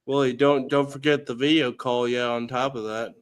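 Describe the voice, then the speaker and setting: A man's voice: medium pitch, level tone, moderate pace, clean tone. A man who is a guest on a livestream, chatting casually and dropping short, joking interjections.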